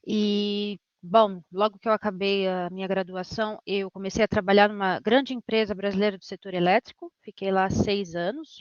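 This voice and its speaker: A woman's voice: medium pitch, no echo. A woman in her thirties with a Brazilian Portuguese accent, telling her own experience informally as a guest on a dull recording. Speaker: guest, engineer. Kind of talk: informal personal account. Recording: dull, lo-fi audio.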